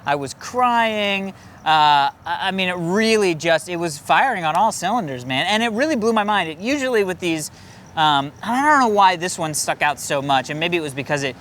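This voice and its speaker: A man's voice: sits high, expressive, moderate pace, fairly bright tone. An American man co-hosting a casual movie review, talking in an emphatic, enthusiastic, rambling way, with outdoor street noise behind him. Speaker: film review show co-host.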